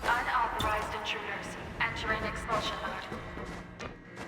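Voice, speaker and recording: A woman voices an emotionless game security machine. Her clipped, formal announcements come from far off with a long reverberant tail, under loud music. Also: mid-range voice, little pitch movement, unhurried, natural voice. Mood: cold alert. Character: impersonal female security machine announcing warnings.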